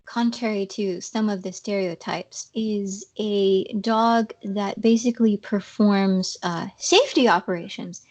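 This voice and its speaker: A woman with a slightly scratchy voice talks slowly as a podcast guest. She explains things in a relaxed way, pausing on ums and uhs. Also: mid-range voice. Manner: explanatory, uses fillers. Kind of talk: casual interview.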